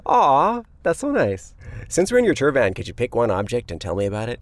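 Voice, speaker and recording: A man's voice: medium pitch, huge pitch swings, a little fast, no room sound. A man hosting an interview show, chatty and upbeat as he puts questions to a guest. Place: inside a parked tour van.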